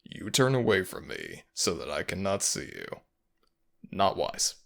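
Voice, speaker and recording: Man playing a low, creaky, taunting fox-spirit villain. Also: huge pitch swings, heavily acted. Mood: silky taunting. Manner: slow, theatrical, taunting menace. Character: sadistic, mocking male nogitsune fox spirit villain. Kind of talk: ASMR audio roleplay acting.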